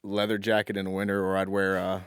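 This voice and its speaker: A man's voice: low-pitched, completely flat delivery, fast talker, clean tone, no echo, clean studio sound. A man co-hosting a podcast in casual chat, blunt and laid-back, swearing casually. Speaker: podcast co-host.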